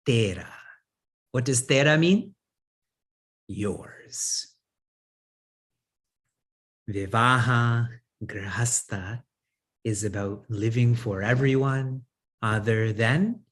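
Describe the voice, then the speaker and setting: Husky man giving a spiritual talk. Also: medium pitch, very slow, pauses often, fairly bright tone. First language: English. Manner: asks the audience questions and answers them himself; chuckles often while talking. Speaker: spiritual teacher. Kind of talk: spiritual discourse.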